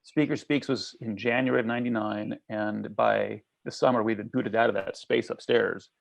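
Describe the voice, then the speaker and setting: A man with a gravelly voice casually recalling a theatre production, in slightly dull audio. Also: on the low side, steady tone, normal speed. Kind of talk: casual recollection.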